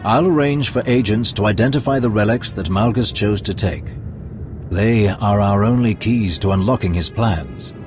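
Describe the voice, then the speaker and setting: A man's voice: on the low side, lightly acted. A man playing a courteous Imperial spymaster. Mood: reserved. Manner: formal, courteous, deliberate. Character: formal male Imperial spymaster, polite and deferential. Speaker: advisor. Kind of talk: video game cutscene acting. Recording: music score behind.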